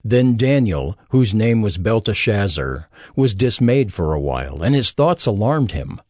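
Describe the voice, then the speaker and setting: A man's voice: fairly low pitch, big pitch swings, measured pace, darkish tone, no room sound, clean studio sound. A man narrating scripture in a scripted, dramatized production.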